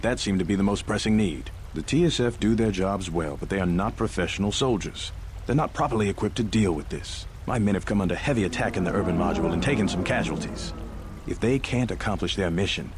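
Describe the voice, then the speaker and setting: Man playing a low-voiced, formal army major. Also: slight background noise, lightly acted. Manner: measured, formal. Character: composed, formal male army major, dutiful and earnest. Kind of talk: video game dialogue.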